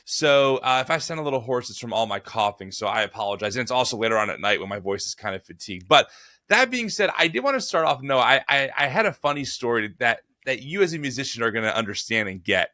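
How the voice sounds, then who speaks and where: A man with a bright, forward voice gone a little hoarse, chatting casually as a podcast host. He tells stories in a loose, friendly way, restarting sentences and tossing in "dude." The sound is dry and close, with no room around it. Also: medium pitch, sing-song, quick pace, no echo.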